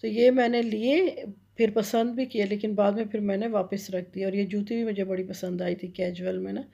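A woman's voice: medium pitch, steady tone, moderate pace, vocal fry, dark tone. A middle-aged woman chatting casually as she walks through a large clothing store, gushing over the items and filling her talk with fillers, filmed on a handheld phone. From Pakistan. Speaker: vlogger. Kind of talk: casual shopping vlog narration. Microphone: handheld phone camera. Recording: dull lo-fi phone audio.

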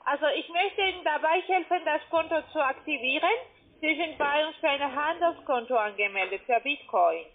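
High-voiced woman on a tinny phone. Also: moderate pace, slight background noise. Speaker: call-centre caller pitching trading accounts. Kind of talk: phone sales pitch. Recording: tinny narrowband phone line.

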